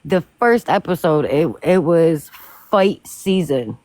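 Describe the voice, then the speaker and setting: A woman in her thirties chatting casually on a live stream, blunt and quick to laugh. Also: deep voice, lively intonation, darkish tone, no room sound. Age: 30s. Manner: blunt, talks over others, laughs often. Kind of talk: casual chat.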